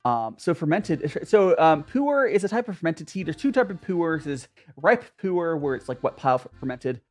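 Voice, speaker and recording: Rambling lively Southern Californian guy. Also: on the high side, normal speed, faint music behind. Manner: rambling, chatty, self-mocking, many restarts and fillers. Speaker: streamer hosting a tea chat. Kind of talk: casual livestream chat with viewers.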